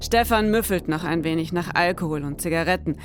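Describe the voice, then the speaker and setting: A woman playing a brisk, orderly detective who narrates a case in a mock-serious, businesslike noir style. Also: on the low side, soft background music, natural voice.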